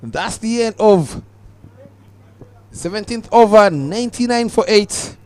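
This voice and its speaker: A young Ugandan man giving live cricket commentary, calm and measured, lifting his voice on the big moments. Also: high voice.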